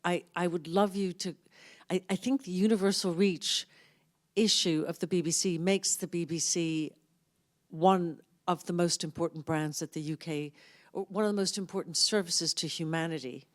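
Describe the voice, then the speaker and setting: A woman with a fairly low, loud voice asks a question from a conference audience. She stops and restarts often but stays earnest. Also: steady tone, moderate pace. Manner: hesitant with many restarts, earnest. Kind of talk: public Q&A question.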